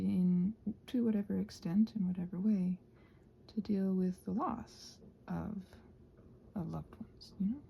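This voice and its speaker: A soft-spoken woman chatting casually in an ASMR craft ramble, often slipping into whispers as she hesitantly thinks aloud. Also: lowish voice, vocal fry, a little hiss or hum. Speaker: host of a craft ramble channel. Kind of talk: casual rambling chat while painting, ASMR.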